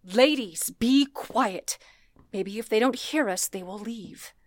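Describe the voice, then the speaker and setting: An actress playing a stern, protective supervisor in a radio play, speaking in a commanding, solemn way. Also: female voice, fairly high pitch, slow. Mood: tense, commanding. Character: stern, protective woman in charge of frightened operators. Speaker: telephone office supervisor. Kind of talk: radio play acting in 1930s setting.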